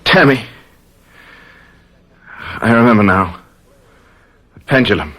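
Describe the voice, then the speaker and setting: An actor playing an emotional, stubborn captive husband under torture in an old-time radio drama. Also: male voice, lowish voice, animated, takes it slowly, fairly bright tone, full character voice. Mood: anguished, defiant.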